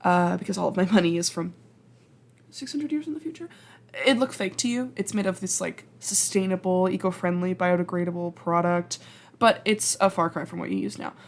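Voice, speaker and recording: A woman's voice: on the low side, fairly quick, vocal fry. A woman playing a flustered, apologetic time traveler from the future in an ASMR roleplay, speaking hesitantly, stammering and rambling in a self-deprecating way. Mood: sheepish, awkward. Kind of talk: ASMR roleplay acting, intimate and conversational.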